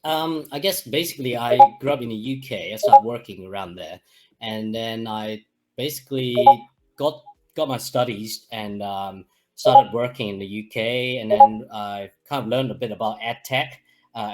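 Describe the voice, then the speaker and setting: A man's voice: on the low side, normal speed, low-quality recording. Man from Hong Kong, UK-raised, laid-back guest who rambles through his background. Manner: relaxed, rambling storyteller. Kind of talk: casual interview chat.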